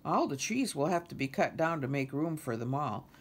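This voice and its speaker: A woman's voice: sits low, expressive, moderate pace, husky. A woman voicing an earnest male frog who worries about his home, for a children's storybook.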